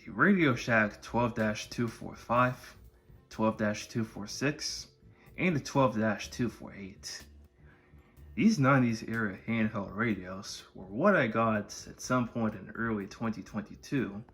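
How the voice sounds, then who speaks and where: A man speaks in a low voice with plenty of vocal fry. He narrates a casual showcase, putting his sentences together in a halting, wordy way. Faint music plays behind him. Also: fairly bright tone. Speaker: video creator presenting a collection.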